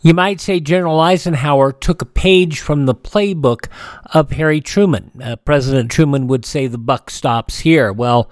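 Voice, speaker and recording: Higher-voiced American man hosting radio. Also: moderate pace. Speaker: radio show host. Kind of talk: conversational radio presenting, commenting on history.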